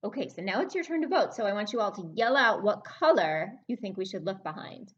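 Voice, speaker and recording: A woman hosting a children's story time, reading aloud in a sing-song, animated way and prompting young listeners with questions. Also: mid-range voice, a little fast, lo-fi sound.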